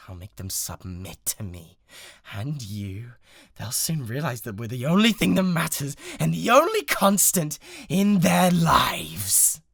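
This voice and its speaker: A man's voice: a little high, vocal fry, slightly rough, dry recording, clean studio sound, full character voice. A British man playing an obsessive, bratty young livestreamer in a dramatised roleplay, talking intimately and needily to the listener with an undercurrent of menace. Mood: menacing, cold. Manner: intimate, pleading, swinging between hushed and insistent.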